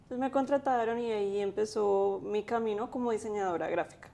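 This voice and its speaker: Young woman, mellow voice. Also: medium pitch, fairly quick, gravelly. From Colombia. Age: 20s. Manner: thoughtful, with many fillers. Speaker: guest, graphic designer. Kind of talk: interview.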